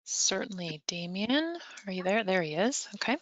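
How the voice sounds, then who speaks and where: A woman facilitating a video meeting, keeping things moving with brisk short prompts. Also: medium pitch, big pitch swings. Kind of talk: meeting chat. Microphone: video call.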